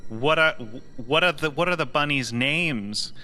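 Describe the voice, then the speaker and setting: Man playing a high-pitched, coaxing adventurer. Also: slight background noise. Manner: halting, gently coaxing, as if to a child. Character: coaxing male adventurer humouring a simple troll.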